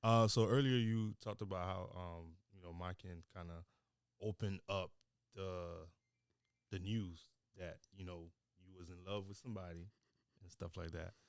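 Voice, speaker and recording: A man hosts a podcast and asks his guest questions in an easy, laid-back way. His voice is very deep, and the studio sound is dry and close. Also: steady tone, a little slow, clear, resonant tone, dry recording. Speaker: podcast host. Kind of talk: casual interview questions. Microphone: boom-mounted studio mic.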